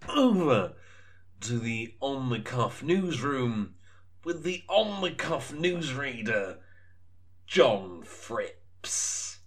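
Man playing a slow, showy podcast host. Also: mid-range voice, very animated. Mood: showy, upbeat. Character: pompous, chirpy male comedy podcast host introducing clips. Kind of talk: scripted comic presenting.

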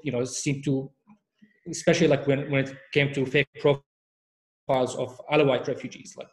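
A man, a researcher, answering interview questions in a measured way, with frequent fillers and restarts. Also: medium pitch, poor audio. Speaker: researcher guest.

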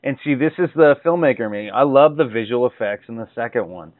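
A man's voice: medium pitch, lively intonation, quick pace, no room sound. A man hosting a podcast, chatting casually and jokingly with a guest. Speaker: podcast host interviewing a guest. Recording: dull, lo-fi audio.

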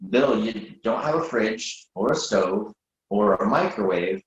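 A man acting a reluctant, sensible friend with a halting, incredulous manner in a readers theatre comedy, recorded in an echoing room. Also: fairly low pitch, lively intonation, highs cut off. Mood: exasperated. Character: exasperated, reluctant male friend, sensible but awkwardly polite.

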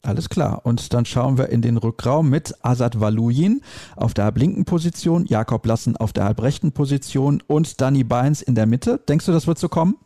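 A man's voice: mid-range voice, lively intonation, normal speed, dark tone, no room sound, clean studio sound. A man hosting a conversational sports discussion, stating his opinions and readily correcting himself. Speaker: sports talk host.

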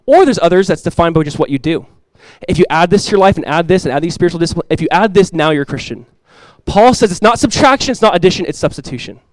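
A man with a fairly high voice preaches in an earnest, conversational way. He keeps asking his listeners rhetorical questions. The sound is dry and close to the mic. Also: lively intonation, quick pace, dry recording, rough recording. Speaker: pastor. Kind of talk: expository sermon.

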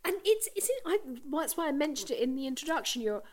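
High, lively-voiced woman interviewer. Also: fast talker, a little hiss or hum. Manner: playful, laughs often, short encouraging replies. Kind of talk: interview chat.

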